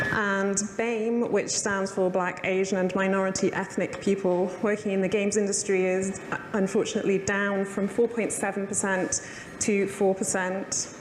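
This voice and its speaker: Woman chairing a panel, mid voice, lots of ums, big echoey hall, noisy. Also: medium pitch. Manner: relaxed, with frequent "um" pauses. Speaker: panel chair and games journalist. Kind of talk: moderating a conference panel. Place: conference hall. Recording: roomy hall with long reverb and background noise.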